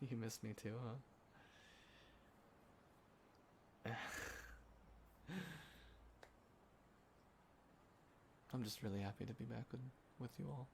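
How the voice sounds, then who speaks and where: A man acting as a sentimental young guy catching up with a close friend, talking in a casual, halting, soft-hearted ramble for an intimate roleplay audio. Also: fairly low pitch. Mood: wistful, tender. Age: young adult. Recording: clear background ambience noise. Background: quiet city ambience.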